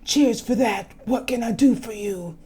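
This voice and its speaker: Woman putting on a loud, courtly forest guardian man. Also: on the high side, a little slow, husky.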